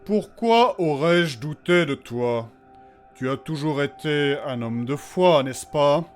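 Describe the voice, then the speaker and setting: An actor playing a calm, quietly menacing master in a fantasy audio drama, measured and falsely reassuring. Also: male voice, a little high, soft background music.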